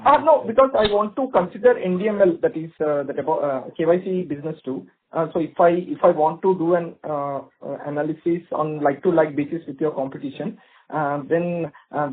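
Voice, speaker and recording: High, husky man on phone. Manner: halting, repeating words. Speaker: financial analyst asking questions. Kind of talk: earnings call questioning. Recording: narrow telephone line.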